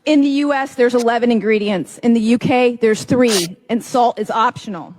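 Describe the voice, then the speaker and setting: Brisk woman listing food facts. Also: fairly high pitch, a little mellow. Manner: brisk, listing facts one after another. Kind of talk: informative video narration.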